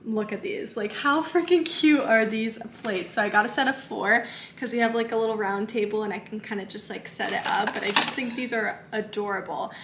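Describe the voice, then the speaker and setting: A woman's voice: mid-range voice, a little fast, noisy background. A woman in her twenties chatting casually through a shopping haul in her home kitchen, bubbly and full of "like" fillers. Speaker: lifestyle vlogger. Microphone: camera in front, medium close framing. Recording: echoey kitchen.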